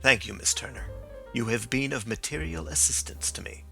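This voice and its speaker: A man voicing a keen, brisk male detective in a crisp, measured way. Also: mid-range voice, pushed and bright, soft background music.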